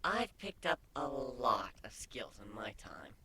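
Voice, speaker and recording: A man voicing a playful, affectionate female changeling in a fantasy story, with a soft, breathy, coy delivery. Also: medium pitch, sing-song, slow pace, exaggerated voice. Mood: tender, coy.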